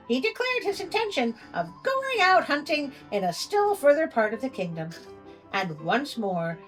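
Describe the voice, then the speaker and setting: A woman storyteller reading dramatically, in a measured, theatrical manner with wry asides. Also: on the high side, rough recording. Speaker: storyteller and host. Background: music.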